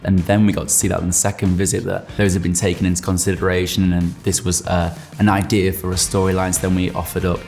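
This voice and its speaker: A man speaking as an educator, giving measured reflections in an interview. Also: low-pitched, level tone, creaky, darkish tone, faint music behind.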